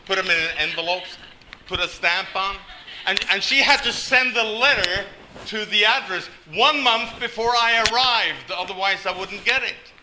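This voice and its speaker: A man's voice: high-pitched, normal speed, noisy background. An older man from Norway preaching a sermon, telling stories with folksy, self-deprecating humor. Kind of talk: sermon with storytelling.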